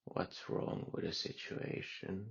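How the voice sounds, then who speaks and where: A man guiding a meditation in a calm, unhurried way with long pauses. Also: muffled recording. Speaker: meditation guide. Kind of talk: guided meditation.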